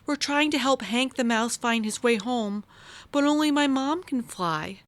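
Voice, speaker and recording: A woman's voice: fairly high pitch, unhurried, no echo, voice pushed up, natural voice. A woman voicing a timid young girl ladybug in a children's storybook, anxious and childlike. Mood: scared, discouraged.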